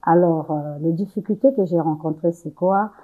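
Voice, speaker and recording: A woman's voice: on the low side, moderate pace, narrow, tinny audio. A young woman from Congo answering interview questions, hesitant, searching for words with many fillers and restarts. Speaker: entrepreneur interview guest.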